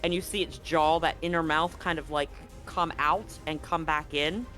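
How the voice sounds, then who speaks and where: Man slowly narrating a horror game. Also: a little high, steady tone, bright, forceful tone, soft background music. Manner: slow, deliberate scene-setting with frequent restarts. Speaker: game master.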